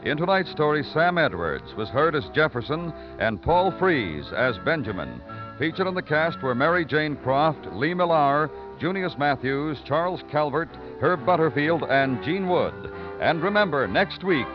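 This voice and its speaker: A male 1950s radio announcer with an animated, varied delivery. He reads scripted copy over clear music. The vintage broadcast sounds dull. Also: on the high side, a little slow.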